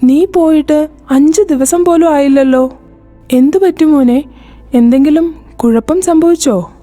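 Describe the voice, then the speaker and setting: A woman voicing a caring older village mother who speaks gently and motherly, for an animated storybook. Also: high voice, unhurried, faint music behind.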